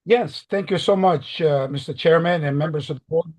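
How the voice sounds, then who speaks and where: An older man from the Dominican Republic, giving a halting, earnest opening statement in a remote job interview over a video call. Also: on the high side, darkish tone. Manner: earnest, halting with many fillers. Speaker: job candidate.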